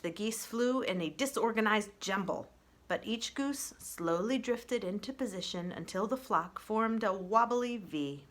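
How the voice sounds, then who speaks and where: Lady reading a kids chapter book out loud, bright voice, gentle and measured, soft phrase endings. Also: medium pitch. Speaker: children's librarian reading aloud. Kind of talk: expressive storybook narration for children.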